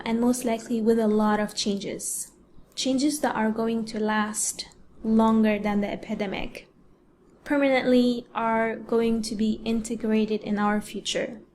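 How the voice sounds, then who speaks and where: A woman from Egypt, a pianist and educator, giving a prepared speech in a measured way with deliberate pauses. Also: a little high, a little mellow, a little hiss or hum. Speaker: award-winning pianist and educator giving a talk.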